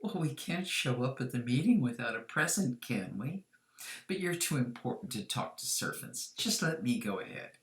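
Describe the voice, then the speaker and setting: Young priestess, secretly divine, from a short story, acted by the narrator, formal, quietly insistent, roomy sound. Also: mid-range voice, sing-song, normal speed, close to an everyday voice. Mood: playful, coaxing. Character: devout, cunning young priestess, secretly a goddess.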